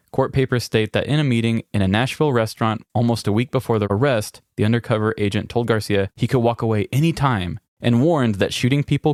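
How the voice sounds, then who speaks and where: A man's voice: mid-range voice, a little mellow, dry recording. Informal male podcast co-host, dry close sound. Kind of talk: casual chat and reading news articles aloud.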